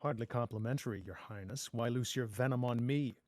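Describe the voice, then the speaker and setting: A man's voice: medium pitch, brisk, a little mellow, no echo, studio quality, close to an everyday voice. A man playing a cool, cynical masked pirate with mocking, polite menace in a fairy-tale table read. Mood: sardonic, cool. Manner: sardonic, drawling taunts with polite menace.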